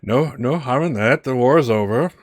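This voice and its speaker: A man playing a courteous upper-class war veteran, a gentleman officer who speaks haltingly and formally in a tabletop game. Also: mid-range voice, no room sound, pitched above the performer's own voice, close to an everyday voice. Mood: weary, magnanimous.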